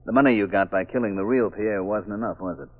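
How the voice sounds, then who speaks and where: An actor playing a tough, cocky sleuth in a 1940s radio mystery, with a clipped, commanding way of talking. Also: male voice, on the low side, fast, lightly acted. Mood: accusing. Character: tough, cocky male amateur sleuth husband. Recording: dull, lo-fi old radio recording.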